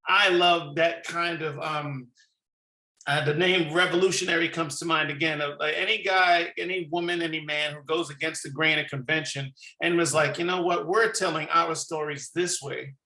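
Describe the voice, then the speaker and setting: Talk host from New York, effusive and slangy, bright voice with a gravelly edge, roomy echo. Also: male voice, fairly high pitch, fairly even intonation, moderate pace. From New York City. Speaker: podcast host. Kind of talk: casual interview chat.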